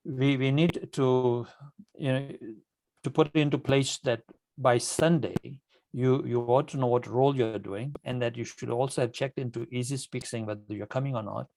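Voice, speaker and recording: A man from Kenya, a native Swahili speaker, addressing club members informally in a halting, repetitive, emphatic manner. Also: mid-range voice, steady tone, gravelly, darkish tone, no room sound, rough recording. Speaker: club leader addressing members at an online meeting. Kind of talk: informal motivational meeting talk.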